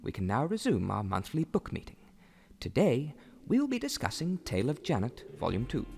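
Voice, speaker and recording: A man's voice: medium pitch, wildly swooping pitch, normal speed, vocal fry, dark tone, slight background noise. A man voicing a mild-mannered, bookish book club leader in a cartoon parody, polite and a little fussy.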